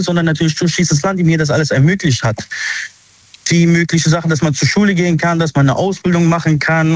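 A man's voice: fairly high pitch, no echo. Polite young male caller, dull dry line. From Syria. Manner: polite, earnest. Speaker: phone-in caller. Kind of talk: casual personal account. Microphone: telephone. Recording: dull, dry lo-fi phone call.

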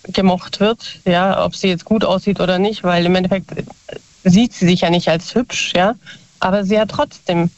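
A woman's voice: on the low side, fairly even intonation, normal speed, a little mellow, no echo. A woman in her forties chatting casually, with lots of fillers and self-corrections. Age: 40s. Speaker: guest. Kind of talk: casual chat. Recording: dull lo-fi dry sound.

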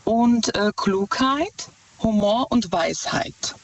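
A woman's voice: mid-range voice, lively intonation, takes it slowly. A woman with a Czech accent calling into a radio show, nervous and hesitant with many fillers. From Czech Republic. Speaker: caller. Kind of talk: phone call-in to radio. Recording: dull distant phone line.

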